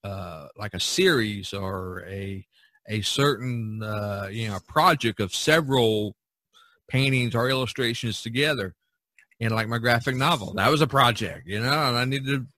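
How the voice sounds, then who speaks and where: A man's voice: medium pitch, normal speed, pushed and bright, no room sound. A man hosting a casual podcast, giving advice in a rambling, folksy way full of "you know". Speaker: podcast host and painter. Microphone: video call recording.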